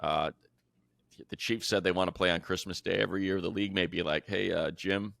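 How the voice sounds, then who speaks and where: A man's voice: low voice, fairly even intonation, fairly quick, creaky, a bit bright, dry recording, studio quality. A man hosting a football show, telling stories in a casual, enthusiastic, colloquial way. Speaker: sports show host and football play-by-play announcer. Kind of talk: casual sports talk, animated storytelling.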